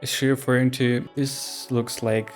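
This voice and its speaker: A man's voice: mid-range voice, flat delivery, unhurried. A man giving casual reaction commentary on a game, curious and thinking aloud. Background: faint music.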